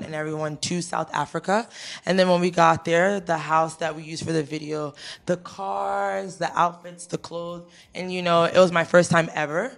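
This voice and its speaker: A woman's voice: fairly low pitch, vocal fry. A young woman singer from Ghana, raised in America, casually answering interview questions in a studio with a handheld mic. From Ghana, raised in the United States. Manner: easygoing, many fillers like "you know" and "um". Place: studio with a teal backdrop. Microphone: handheld mic close to the mouth.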